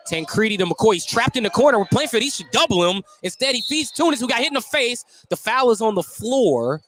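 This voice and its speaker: High-pitched male basketball play-by-play announcer. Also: fairly bright tone. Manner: clipped running descriptions of the action. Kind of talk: live basketball play-by-play commentary. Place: at a basketball game in a gym.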